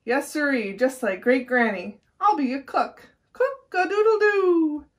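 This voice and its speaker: A woman's voice: sits high, animated, a little slow, voice pushed up. A woman voicing an eager, bossy rooster who dreams of cooking, in a storybook reading. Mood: excited, proud.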